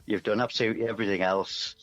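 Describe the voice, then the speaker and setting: Male musician guest, lowish voice, casual and self-effacing, slightly muffled lo-fi line. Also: steady tone, racing, a bit bright. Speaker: musician guest, singer-songwriter. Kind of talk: casual interview chat.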